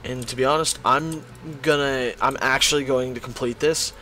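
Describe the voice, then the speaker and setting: A man talking casually to viewers while he draws, his voice hoarse and strained from hours of talking and his thoughts rambling along as he goes. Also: mid-range voice, fairly even intonation, moderate pace, bright, forceful tone, faint music behind. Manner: rambling, thinks aloud. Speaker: YouTuber drawing on stream. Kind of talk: casual commentary while drawing.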